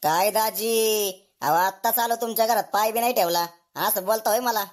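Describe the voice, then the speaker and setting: A woman's voice: medium pitch, normal speed, close to an everyday voice. A shameless, boastful drunkard of a brother-in-law, acted by a woman in a comedy cartoon. He is a brazen freeloader with a whining way of talking.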